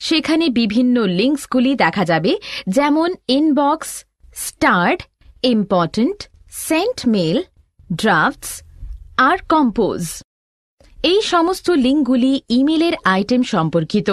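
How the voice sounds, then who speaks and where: A woman with a high voice and animated intonation narrates a scripted tutorial. She explains step by step as an instructor, in a dry recording free of room sound. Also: unhurried, dry recording.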